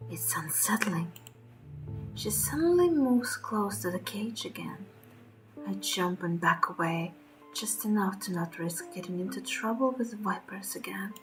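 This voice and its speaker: A woman voicing a frightened, defensive young beast pup, telling a horror game story in dramatic first person with hushed, breathy endings. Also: mid-range voice, natural voice, low-quality recording. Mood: uneasy.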